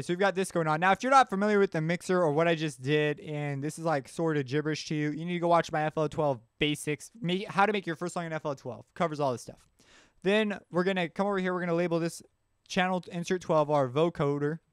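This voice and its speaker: Male tutorial host, slightly high, clean voice, informal think-aloud delivery. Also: a bit bright, clean tone, dry recording, studio quality. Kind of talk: casual software tutorial narration. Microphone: close mic screencast voice-over.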